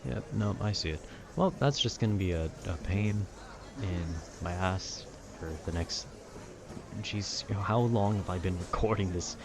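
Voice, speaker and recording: A man's voice: low voice, normal speed. A young man rambling casually in a nerdy, slangy, meandering way, often trailing off and losing his thread. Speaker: audio content creator rambling to listeners. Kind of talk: casual rambling talk about video games. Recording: clear background noise, urban ambience.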